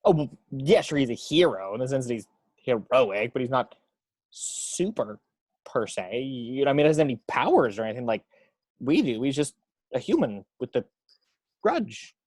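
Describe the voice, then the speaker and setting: A man's voice: mid-range voice, very animated, normal speed, darkish tone, breathy edge. A man playing an eager, chatty superhero speedster in a script table read, with a nervous, informal way of talking. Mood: flustered, rambling.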